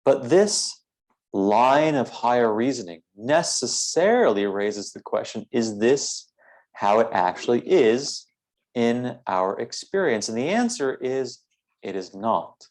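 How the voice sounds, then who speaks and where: A man leading a philosophical guided meditation in a measured, deliberate way, with formal phrasing and frequent pauses. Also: mid-range voice, lively intonation, a little mellow.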